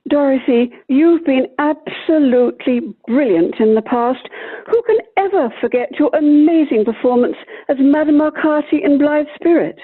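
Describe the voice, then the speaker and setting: Radio play director played by a woman: high, polite, placating, thin phone-line sound. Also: moderate pace, lightly acted. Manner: polite, reassuring. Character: tactful, placating amateur theatre director. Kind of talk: amateur radio play acting. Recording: tinny, phone-like video call audio.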